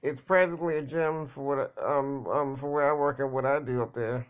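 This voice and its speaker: A man talking in a casual, rambling monologue, halting and repeating words, blunt and plain-spoken. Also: mid-range voice, steady tone. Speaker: vlogger.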